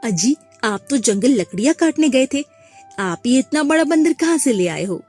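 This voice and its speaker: A woman's voice: high-pitched, lively intonation, moderate pace, darkish tone, faint music behind. A woman voicing a farmer's wife, a curious, questioning village woman in a cartoon story. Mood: astonished, curious. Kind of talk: Hindi cartoon moral story acting.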